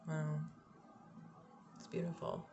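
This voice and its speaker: A young woman with a slightly raspy voice doing a casual tarot reading, thinking aloud, trailing off and restarting her sentences. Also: sits low, huge pitch swings, slow, highs cut off. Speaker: tarot reader. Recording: audible background noise.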